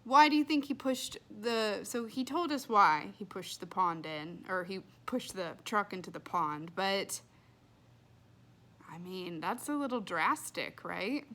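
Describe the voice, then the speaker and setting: A woman's voice: medium pitch, a little slow, sharp tone. A woman hosting a children's read-aloud, telling the story expressively.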